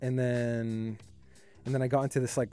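A young man from Jordan and Canada, whose first language is Arabic, chats loosely as a guest on a radio interview. His voice is warm and mellow, and he talks in a rambling way, full of "like." Faint music plays in the background. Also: mid-range voice, moderate pace, clean tone. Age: young adult. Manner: relaxed, rambling, heavy use of "like".

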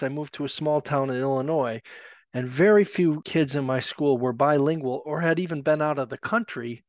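Measured male podcast co-host. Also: fairly high pitch, no room sound, lo-fi sound. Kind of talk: scripted reflection.

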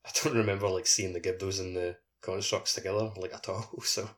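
A man's voice: deep voice, little pitch movement, brisk, a bit bright. A man co-hosting a podcast, talking about gaming in a loose, informal, conversational way. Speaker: podcast co-host. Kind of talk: casual chat about gaming.

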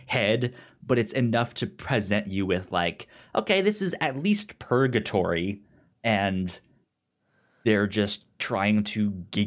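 A man with a fairly low voice talks through a film in a casual podcast style. His delivery is very unhurried and halting, and he often stammers, repeating words and syllables as he works toward his point.

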